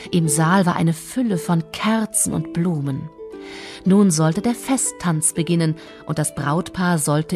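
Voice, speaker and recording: A woman narrator telling a scripted German fairy tale in a measured, expressive storytelling manner. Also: lowish voice. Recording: music behind the voice.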